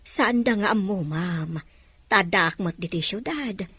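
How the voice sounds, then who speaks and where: An actress voicing a maid who answers in a hesitant, deferential way. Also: female voice, mid-range voice, lively intonation, takes it slowly, pushed and bright, breathy edge, no echo, heavily acted. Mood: nervous.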